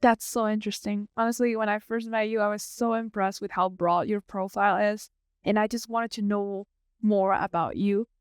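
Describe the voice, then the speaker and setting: A woman's voice: mid-range voice, steady tone, moderate pace, smooth, no echo, clean studio sound. A woman hosting a podcast, giving prepared introductions and interview questions. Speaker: podcast host.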